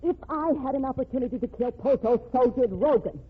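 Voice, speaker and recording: Tinny, high-pitched, desperate young woman. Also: animated, brisk, slightly rough. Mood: accusing. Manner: urgent, pleading, melodramatic. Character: desperate, impassioned young woman fighting for her condemned sweetheart. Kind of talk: old-time radio crime drama acting. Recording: tinny band-limited old radio recording.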